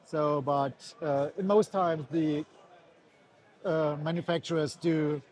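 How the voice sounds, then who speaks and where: A man from a bike shop, calmly explaining custom builds at a busy indoor trade fair, with a few fillers. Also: on the high side, slow pace. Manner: calm, informative, a few fillers. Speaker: bike shop staff, guest. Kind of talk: explaining products at an expo.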